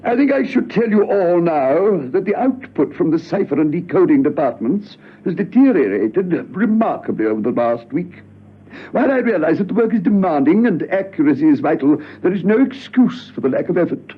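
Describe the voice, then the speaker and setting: High-pitched fussy office boss. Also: male voice, big pitch swings, a little fast, husky, exaggerated voice. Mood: stern, fussy. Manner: stiff, formal, flustered. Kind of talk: classic spy radio drama acting. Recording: tinny phone-like radio recording, faint noise.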